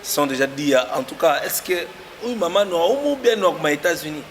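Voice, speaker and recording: A man's voice: a little high, lively intonation, fairly quick. Hesitant male TV host, echoey hall. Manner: hesitant, many fillers. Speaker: TV show host. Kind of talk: interview, casual talk show. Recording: noisy room with long reverb.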